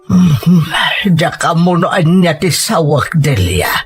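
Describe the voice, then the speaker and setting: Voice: medium pitch, very animated, slow, breathy edge, full character voice. An actor playing a tender, worried elderly parent in an Ilocano Filipino radio drama, speaking with emotion. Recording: music under the voice.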